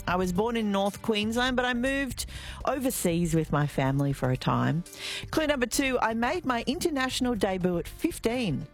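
Lively Australian woman radio host. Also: on the high side. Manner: chatty, jokey, repeats clues. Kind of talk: breakfast radio presenting. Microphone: studio mic.

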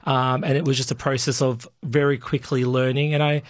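A man's voice: mid-range voice, little pitch movement, a little fast, no room sound, studio quality. A man chatting casually as a radio interview guest, easygoing and self-deprecating. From Australia.

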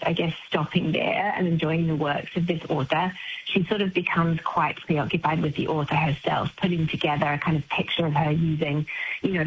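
A woman from New Zealand, an author speaking as an interview guest, talking in a warm, enthusiastic, thoughtful way. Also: on the low side, dull audio, a little hiss or hum. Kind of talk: interview on a remote line.

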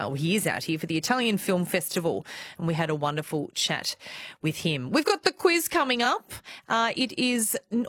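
A woman's voice: fairly low pitch, no room sound. An Australian woman presenting a live radio talk show, bubbly and enthusiastic, reading out listener texts. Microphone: studio microphone.

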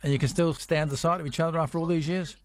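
A man hosting a casual radio interview, chatty with quick affirmations. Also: fairly high pitch, steady tone. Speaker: radio host.

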